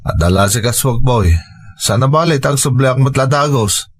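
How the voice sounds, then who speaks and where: A man's voice: mid-range voice, normal speed, breathy edge, natural voice. A man playing an irritable, irresponsible husband and father in an audio drama, grumbling and dismissive. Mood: placating, hurried. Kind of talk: Ilocano audio drama acting.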